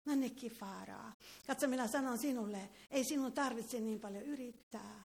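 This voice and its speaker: Woman, fervent charismatic preacher, praying aloud in a meeting hall, speaks in tongues, fairly high voice. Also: darkish tone, breathy edge. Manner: fervent, prays with tongues interjected. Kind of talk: charismatic prayer and prophecy.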